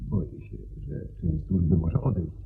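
An actor playing a worried, gloomy gentleman landowner in a radio play, speaking in a measured, formal way. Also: low voice, lively intonation, gravelly. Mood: grave, recounting. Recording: tinny radio recording with hum.

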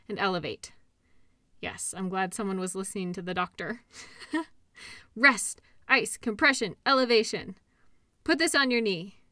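Bright-voiced caring girlfriend, acted by a woman. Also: mid-range voice, dry recording, studio quality. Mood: relaxed, playful. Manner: soothing, playful, encouraging. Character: caring, upbeat girlfriend comforting an injured partner. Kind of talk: intimate roleplay audio, conversational acting.

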